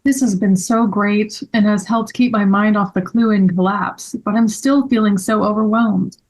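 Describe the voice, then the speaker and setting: A woman's voice: mid-range voice, steady tone, moderate pace, darkish tone, lightly acted. A woman playing an earnest, sensitive young boy in a children's story table read, speaking in a heartfelt way. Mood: overwhelmed.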